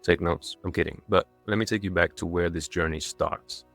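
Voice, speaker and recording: A young man in his twenties speaks in a low-pitched voice, with faint music underneath. He narrates a personal video from a script, with a lightly self-mocking, tongue-in-cheek touch. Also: fairly even intonation, moderate pace, creaky, fairly bright tone. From born and raised in Dubai in a Croatian family, moved to England as a child. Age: 20s. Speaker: video creator.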